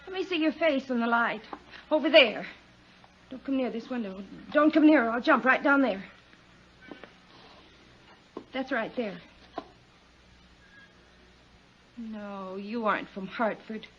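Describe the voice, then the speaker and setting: A woman's voice: fairly high pitch, normal speed, natural voice. An American actress playing a desperate, distrustful, defiant woman in a 1950s radio drama, overwrought and wary. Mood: wary, suspicious. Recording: dull, noisy 1950s radio recording.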